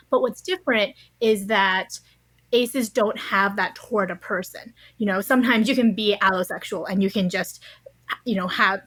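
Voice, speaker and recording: A woman's voice: medium pitch, a bit bright, husky, no echo. Woman podcast guest talking thru ideas, articulate n conversational, very dry recording. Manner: articulate, explanatory, often checks with "you know" and "right?". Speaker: podcast guest, author interviewed on a book. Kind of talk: conversational interview explanation.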